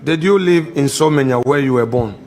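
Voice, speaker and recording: A man on a committee formally questioning a nominee, pressing the same point with measured, insistent questions. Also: medium pitch, a little mellow.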